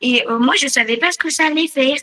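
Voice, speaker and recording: A woman in casual gaming voice chat, explaining in a halting, rambling, defensive way. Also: sits high, bright, forceful tone. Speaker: new online roleplay game player. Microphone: online voice chat microphone.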